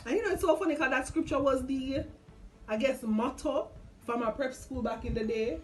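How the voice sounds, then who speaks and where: A woman's voice: high voice, moderate pace, faint music behind. A woman with a Caribbean accent in casual chat, explaining things earnestly to viewers and friends. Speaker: vlog co-host.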